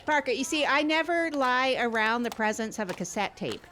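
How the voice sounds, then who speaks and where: A woman's voice: fairly high pitch, lively intonation, moderate pace, pushed and bright, slight background noise. A woman playing an earnest, scatterbrained small-town podcast host who rambles and repeats herself matter-of-factly in improvised comedy banter. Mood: earnest, chatty.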